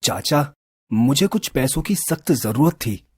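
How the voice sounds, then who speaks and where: An actor voicing a kind young village man who loves animals and talks in a soft, tender way in an animated storybook. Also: mid-range voice, measured pace, husky, no room sound, studio quality.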